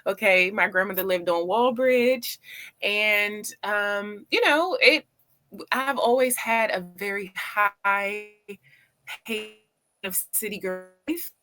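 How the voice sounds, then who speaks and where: A middle-aged woman from Washington, D.C., answering casually as a talk-show guest in an expressive, emphatic, conversational way. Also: medium pitch, takes it slowly. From Washington, D.C., United States. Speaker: interview guest, PR agency owner.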